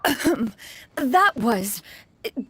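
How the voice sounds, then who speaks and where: A woman's voice: fairly high pitch, very animated, takes it slowly, fairly bright tone, breathy edge, no echo, heavily acted. A woman voicing a proud, aloof immortal adept in a video game, speaking in formal, archaic, haughty phrases. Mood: flustered pride. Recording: faint music behind the voice.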